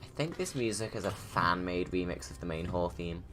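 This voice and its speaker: A man's voice: low-pitched, lively intonation, normal speed, over music. A man giving casual, informal livestream commentary, rambling and thinking aloud as he goes. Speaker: video creator giving commentary.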